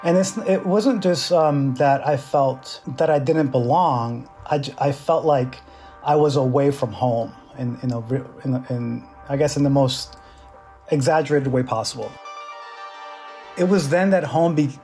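A man's voice: mid-range voice, a little mellow. Dominican-born man with a New York accent. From Dominican Republic. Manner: halting, many restarts, searching for words. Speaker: interviewee. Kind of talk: reflective personal storytelling.

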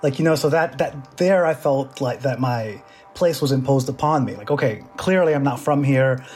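Man, from the Dominican Republic, New York accent, middle-aged, interview, halting speech with restarts, faint music underneath. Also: mid-range voice, darkish tone. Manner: halting, many restarts, searching for words. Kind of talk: reflective personal storytelling.

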